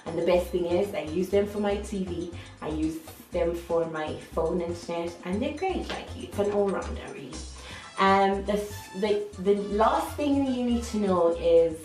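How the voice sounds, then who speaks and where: A woman's voice: lowish voice, expressive, measured pace, a little mellow. A woman with a light Irish accent, an online English teacher giving a chatty, upbeat how-to talk that often restarts its sentences. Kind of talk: casual how-to vlog. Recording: echoey room, faint music.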